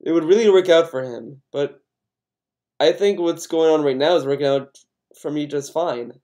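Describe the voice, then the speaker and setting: Sex: male. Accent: American. Pitch: slightly high. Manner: profane rambling rant. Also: normal speed, dark tone. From Connecticut, USA. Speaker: YouTuber. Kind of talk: casual ranting vlog commentary.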